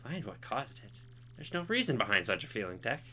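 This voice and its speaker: A man voicing a sweet, polite young princess in a game, raising his voice softly. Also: medium pitch, sing-song, brisk, a little hiss or hum. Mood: gentle.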